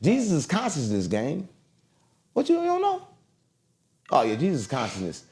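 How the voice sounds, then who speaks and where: A man's voice: a little high, huge pitch swings, moderate pace, darkish tone. A man giving a brash, rambling interview, swaggering and cursing as he talks. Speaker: interview guest. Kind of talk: heated interview talk.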